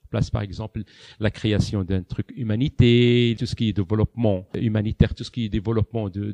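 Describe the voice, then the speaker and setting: A man's voice: medium pitch, dark tone, no room sound. A man answering questions as an interview guest on the radio, explaining his points. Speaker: parents' association president, interview guest.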